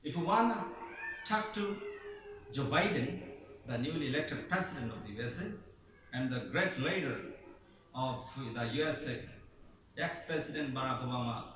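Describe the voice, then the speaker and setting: Male Bangladeshi teacher, formal speechmaker, mid-pitched, distant roomy recording with faint noise. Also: moderate pace, pauses often, a bit bright. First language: Bengali. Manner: formal, declamatory, with emphatic rising stresses. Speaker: English teacher. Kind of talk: prepared speech. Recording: echoey room, voice from far off.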